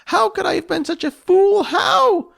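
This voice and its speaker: A man voicing a small, frightened female goblin who pleads and wails in a high voice. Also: expressive, a little slow, gravelly, a bit bright, full character voice. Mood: distraught pleading.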